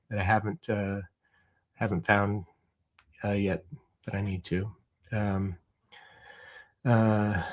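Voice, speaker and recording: Middle-aged man, livestream host, casual chat, rambling, lots of restarts. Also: medium pitch. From Oregon. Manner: rambling, many ums and restarts. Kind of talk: casual chat reading viewer comments.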